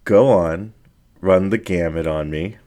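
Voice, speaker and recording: A man's voice: low-pitched, takes it slowly, warm tone. A man voicing a laid-back, blunt and flirtatious male dingo in a casual, teasing way, as in an audio story.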